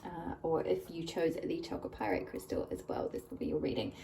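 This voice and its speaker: A woman with a slightly croaky voice from a cold, gently guiding viewers through a pick-a-card tarot reading at a table. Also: sits low, lively intonation, brisk, far from the mic, a little hiss or hum. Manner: gentle, warm address to viewers. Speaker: tarot reader and channel host. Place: indoors at a table, hands-only camera.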